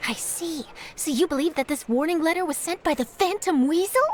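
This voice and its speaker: A woman's voice: sits high, a little fast, a little mellow, slight background noise, close to an everyday voice. A woman playing an eager, bubbly young news reporter in a video game, dramatic and chatty. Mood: professional. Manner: dramatic, chatty, emphatic storytelling. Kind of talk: video game character acting.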